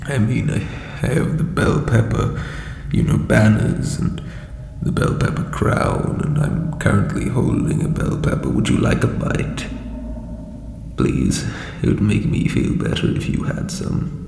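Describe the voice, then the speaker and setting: A man playing an ancient, insecure undead lich king in a comedic audio roleplay, with a breathy croak and hesitant, pompous phrasing. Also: lowish voice, sing-song, a little slow, exaggerated voice. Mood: doubtful, hopeful. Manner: hesitant, self-doubting, theatrical formal phrasing. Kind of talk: scripted comedic audio roleplay addressed to listener. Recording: reverberant echoey hall, faint background noise.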